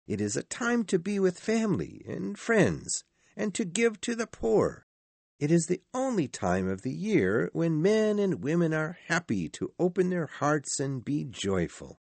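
A man voicing a cheerful, warm-hearted young nephew in a storybook, earnest and exclaiming as he pleads with his uncle. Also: a little high, very animated, unhurried, gravelly, dry recording, pitched above the performer's own voice, exaggerated voice. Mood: earnest, joyful. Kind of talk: storybook character voice.

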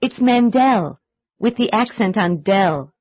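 A woman voicing Liz, a friendly woman in a scripted English textbook dialogue, enunciating clearly and carefully for learners. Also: medium pitch, expressive, takes it slowly. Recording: muffled lo-fi tape.

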